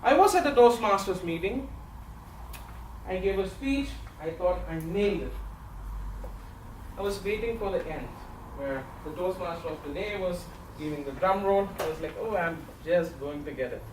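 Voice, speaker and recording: A man's voice: high voice, normal speed. A man in his thirties with an Indian accent, giving a humorous farewell speech to a speaking club in an office meeting room. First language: not English; English is his third language. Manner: storytelling with comic timing, animated gestures. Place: large office meeting room with windows and a projection screen. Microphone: far camera, no mic. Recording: echoey room from far off, audience noise.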